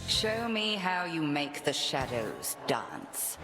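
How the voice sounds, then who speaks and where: An actress playing a stern older witch headmistress in a video game, speaking formally and deliberately with commanding measure. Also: sits low, huge pitch swings, heavily acted. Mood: stern, measured. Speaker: actor voicing a game character. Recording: long reverb, loud music behind. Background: loud game music.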